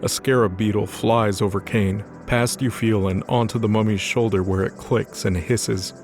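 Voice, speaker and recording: A man narrates a dramatized fantasy tale from a script. His voice is on the low side, with music playing softly behind it. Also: steady tone, moderate pace.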